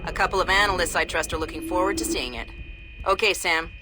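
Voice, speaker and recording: Woman acting low-voiced cool intelligence handler. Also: close to an everyday voice. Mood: businesslike. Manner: brisk, composed, instructing. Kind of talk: video game cutscene acting. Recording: music behind the voice.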